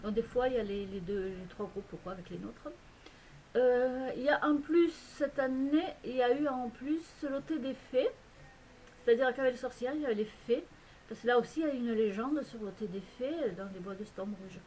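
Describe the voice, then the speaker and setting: Hesitant French woman vlogging, slightly high. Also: normal speed, a little mellow, slight background noise, poor audio. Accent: French from France. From France. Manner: hesitant, rambling, many fillers and restarts. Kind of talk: casual chat to camera. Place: home in a Belgian village.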